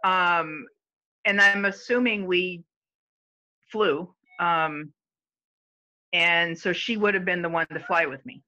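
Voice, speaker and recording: Slow, hesitant woman, lo-fi. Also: fairly low pitch, bright, forceful tone. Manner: slow, hesitant, many fillers. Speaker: interviewee. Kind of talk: casual home interview.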